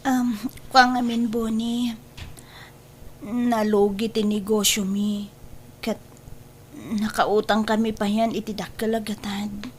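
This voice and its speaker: Slow-speaking young Ilocano woman in love acted. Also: medium pitch, level tone, darkish tone, a little hiss or hum, close to an everyday voice. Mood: hesitant, sad. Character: young woman in love, pleading and stubborn daughter. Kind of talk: dramatized Ilocano radio-style story acting.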